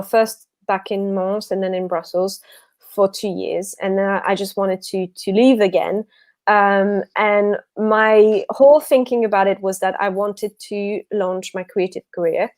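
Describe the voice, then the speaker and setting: Tired Belgian-born author chatting as a livestream guest, self-correcting, laughs often. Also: female voice, medium pitch, fairly even intonation, measured pace, a little mellow. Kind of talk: casual livestream chat.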